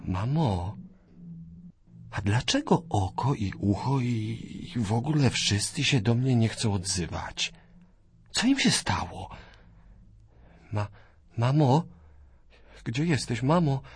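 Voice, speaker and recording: An actor playing the bewildered, frightened mind of a newborn in a radio play, asking hesitant questions. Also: male voice, medium pitch, lively intonation, slow pace, pauses often, slightly rough, no echo, exaggerated voice. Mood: pleading. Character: newborn's bewildered consciousness, male, fearful.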